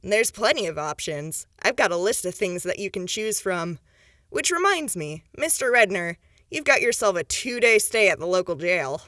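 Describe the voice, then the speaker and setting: An actress playing a brisk small-town official in a radio drama, matter-of-fact and assured. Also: female voice, medium pitch, sing-song, a bit bright, no echo, studio quality.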